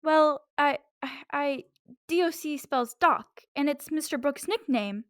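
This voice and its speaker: A woman's voice: sits high, measured pace, fairly bright tone. A woman playing a polite, dramatic lady visitor in a radio drama, with a proper, theatrical delivery. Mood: anxious, helpful. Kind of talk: amateur radio drama acting.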